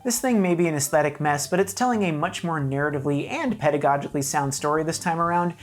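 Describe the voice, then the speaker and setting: A woman's voice: low voice, fast talker, faint music behind. A woman narrating a scripted comedic video review in a wry, mock-serious, emphatic way.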